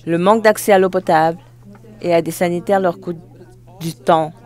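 An interpreter giving a live spoken translation of a formal speech, phrase by phrase, with halting self-corrections. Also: mid-range voice, steady tone, darkish tone.

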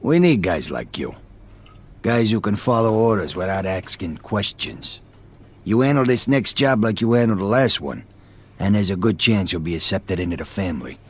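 An actor playing a loud, middle-aged American mobster with an East Coast city accent, husky, chummy but menacing. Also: male voice, lowish voice, expressive, moderate pace. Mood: firm, businesslike. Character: loud, profane middle-aged male mobster recruiting killers.

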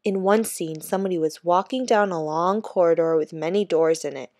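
A woman's voice: on the low side, brisk, darkish tone, no echo. Woman reading a storybook aloud, dry sound. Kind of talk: expressive children's book read-aloud.

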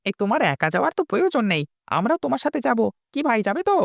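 Woman acting a high, creaky, fretting villager. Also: very animated, very fast, no room sound, clean studio sound, full character voice. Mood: concerned. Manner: reproachful, fretting. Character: scolding, worried villager in a folk tale. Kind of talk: cartoon dialogue acting.

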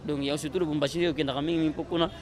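A man's voice: on the high side, fairly even intonation, rapid-fire, darkish tone. A man in his thirties with a Congolese accent, talking casually as an interview guest. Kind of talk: casual interview talk, switching languages. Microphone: handheld interview microphone. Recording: noisy outdoor handheld-mic recording.

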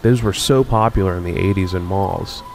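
A man casually narrating a walkthrough of a rundown shopping mall, musing and unhurried with many pauses and fillers. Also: deep voice, gravelly, darkish tone, faint music behind. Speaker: video host touring a closed-down mall.

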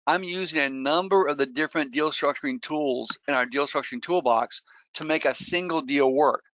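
A man's voice: a little high, normal speed, a bit bright, no room sound, rough recording. A middle-aged man, a real estate investor, giving an earnest webinar talk and telling stories with frequent restarts. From Colombia. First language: Spanish. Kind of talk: webinar presentation.

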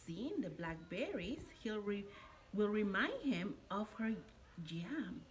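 A middle-aged woman reading a picture book aloud to young children in an expressive, deliberate storytelling manner, like a preschool teacher. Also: medium pitch. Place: indoor classroom. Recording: noticeable steady room background noise.

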